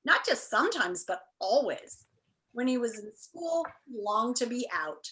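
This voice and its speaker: A woman's voice: on the high side, very animated, unhurried, fairly bright tone. A woman teacher talking and reading aloud to an online class, enthusiastic and encouraging. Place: indoors, on a video call.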